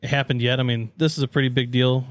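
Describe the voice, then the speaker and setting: A man's voice: mid-range voice, little pitch movement, fast, dry recording. A man with a mid-range voice chats as a podcast co-host. His delivery is informal and agreeable, loose and conversational, with the odd swear word. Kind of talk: casual podcast chat.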